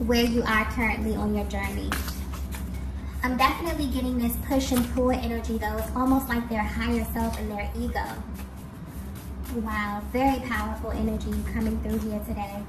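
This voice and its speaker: A woman giving an intuitive tarot reading straight to the listener, earnest, in halting phrases with frequent check-ins. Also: on the high side, steady tone. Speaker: tarot reader. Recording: roomy, distant mic, clear background noise.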